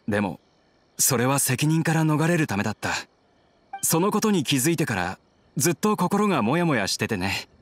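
A man playing a troubled, regretful, soft-spoken young fugitive in an anime game. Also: fairly high pitch, big pitch swings, moderate pace.

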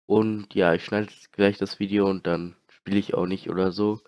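Young male let's player with a cold, lowish voice, scatterbrained and rambling, dry close mic. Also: little pitch movement, moderate pace, a little mellow, dry recording. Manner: scatterbrained, rambling, with many fillers. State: has a heavy cold. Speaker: channel host, let's player. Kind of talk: casual gaming chat.

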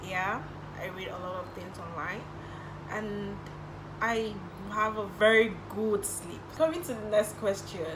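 A woman's voice: medium pitch, measured pace, background noise. A young woman with a Nigerian accent, a native Igbo speaker, chatting casually through get-to-know-me questions in her bedroom, recorded on a camera in front of her. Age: young adult. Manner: chatty, answers questions with little laughs. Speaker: YouTuber. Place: bedroom, indoors. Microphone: camera in front at desk distance.

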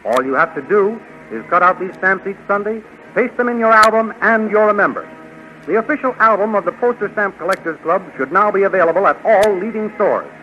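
A man's voice: high-pitched, lively intonation, fairly quick, soft background music. A man with an American accent, reading scripted narration as a 1930s radio announcer in a declamatory, promotional way. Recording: dull 1930s radio recording.

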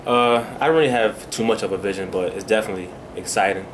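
A young man speaks quickly in a deep, flat voice with brief, modest answers. An air conditioner hums clearly in the small room around him. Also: background noise. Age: young adult. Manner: brief, modest, few words. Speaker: senior college basketball player. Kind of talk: postgame press conference answers. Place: small press room, indoors.

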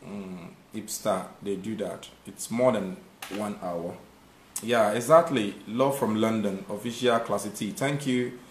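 Fields. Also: male voice, fairly low pitch, unhurried, slight background noise. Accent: Nigerian. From Nigeria. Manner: warm thanks to viewers, lively when making a point. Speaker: live stream host. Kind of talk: live stream chat reading viewer comments. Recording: echoey room.

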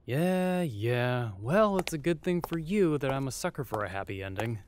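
A man's voice: mid-range voice, animated, normal speed, darkish tone, slight background noise, close to an everyday voice. A man playing a blunt, sardonic, needling male ally in an audio roleplay drama. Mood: relieved, wry.

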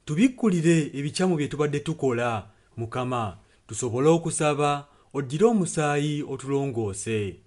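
A man's voice: on the high side, lively intonation, moderate pace, few pauses, darkish tone. A man interpreting a preacher's sermon and prayer phrase by phrase.

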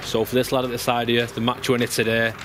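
A man's voice: medium pitch, monotone, fast talker. A man asking questions as a club interviewer after a football match, outdoors at a ground. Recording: outdoor stadium with crowd and traffic noise.

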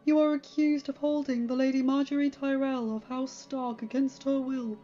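A woman's voice: high voice, level tone, moderate pace, mellow, voice pushed up, close to an everyday voice. A woman voicing a wheezy, formal old man who serves as grand maester, recorded dull and lo-fi over music.

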